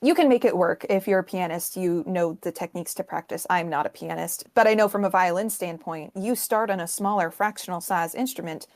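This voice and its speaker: A woman's voice: lowish voice, fairly quick, hardly any pauses, darkish tone. Woman explaining music, step by step. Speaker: podcast panelist explaining music.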